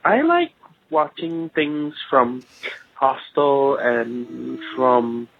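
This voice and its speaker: A man's voice: medium pitch, animated, very slow, creaky, dry recording. Male caller from Singapore, phone-quality audio, hesitant, rambling casual chat. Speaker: phone-in listener leaving a voicemail. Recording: tinny phone-line voicemail.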